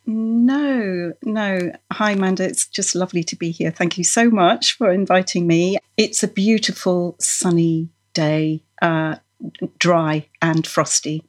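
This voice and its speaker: Slow, low-voiced woman from Norway. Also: steady tone. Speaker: podcast guest, felt maker. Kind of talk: reflective interview conversation.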